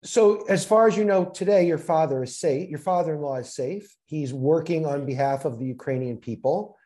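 A man's voice: a little high, normal speed, warm tone. A man hosting an interview, affirming his guest and steering the talk with short prompts. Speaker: interview host. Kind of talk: interview conversation.

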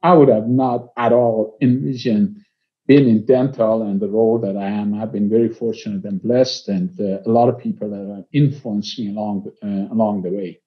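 A man in his sixties from Iran with an Iranian accent, speaking conversationally as an interview guest, with halting phrases and frequent pauses. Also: fairly low pitch, steady tone. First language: Persian. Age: 60s. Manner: halting, pausing often mid-phrase. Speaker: interview guest, company executive. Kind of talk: conversational life-story interview. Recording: slightly dull, lo-fi audio.

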